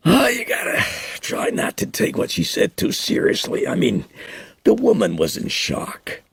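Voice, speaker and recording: A man's voice: high-pitched, wildly swooping pitch, measured pace, gravelly, breathy edge, no room sound, voice pushed up, full character voice. A man voicing an easygoing small-town newspaper editor with a matter-of-fact manner. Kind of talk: audiobook character reading.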